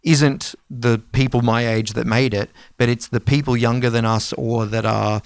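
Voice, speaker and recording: A man in his thirties co-hosting a podcast, telling stories in casual, animated talk with loose swearing. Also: medium pitch, normal speed, vocal fry, smooth, no room sound, clean studio sound. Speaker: podcast co-host.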